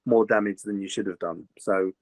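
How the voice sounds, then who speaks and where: An older man, a voice actor chatting in an interview, slightly gruff, rambling with many fillers and restarts. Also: deep voice, steady tone, moderate pace, no echo. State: slightly gruff after a recent virus. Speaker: voice actor guest. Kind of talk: casual interview chat.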